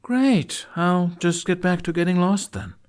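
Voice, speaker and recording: A man's voice: high voice, unhurried, pitched above the performer's own voice. A man voicing a flippant, defiant young man with a sarcastic manner in an audiobook.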